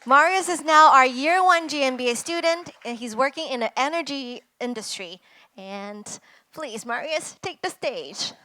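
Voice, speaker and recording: A woman MC introduces speakers in a polite, formal way. Her voice is high and bright, and the sound is dry and close, with little room echo. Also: lively intonation, moderate pace. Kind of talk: event hosting.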